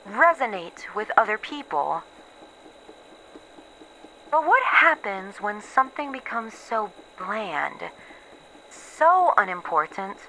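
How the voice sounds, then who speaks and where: Slow, haunted woman researcher, acted out by a woman. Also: a little high, pushed and bright, slight background noise, heavily acted, low-quality recording. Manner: halting, introspective, pausing between short phrases. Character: weary, haunted woman researcher losing her memories and feelings. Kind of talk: dramatic monologue in a horror ASMR audio roleplay.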